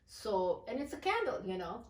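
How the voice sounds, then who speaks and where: A middle-aged woman from Pakistan, her Urdu, who talks as an interview guest with many pauses and fillers. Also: mid-range voice, sing-song, echoey room, distant mic, a loud crowd. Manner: hesitant, many fillers and restarts. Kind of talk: casual interview.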